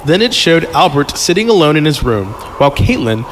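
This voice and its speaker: A man hosting a podcast, reading a story aloud haltingly with casual asides and chuckles. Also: on the high side, very animated, slight background noise. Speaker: podcast host and reader. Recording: music playing behind the voice. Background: prominent background music.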